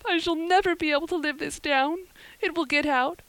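A talkative young orphan girl from a storybook. The voice sits very high and pleads with earnest, breathless melodrama. The recording is close and dry. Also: lively intonation, a little fast, dry recording. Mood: sobbing despair.

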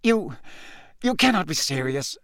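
A short, self-important minister of a wizard world blusters in a high, shrill register. His pitch swings wildly with indignation and panic. The sound is dry and close, as in a studio storybook recording. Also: big pitch swings, takes it slowly, sharp tone, no echo, exaggerated voice. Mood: aghast, flustered. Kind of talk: audiobook character voice.

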